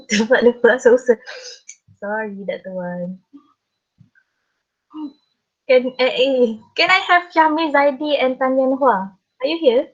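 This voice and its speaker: A Malaysian woman lecturer calling the roll in an online class, brisk and quick to laugh. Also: high-pitched. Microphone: video call. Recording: muffled lo-fi call audio.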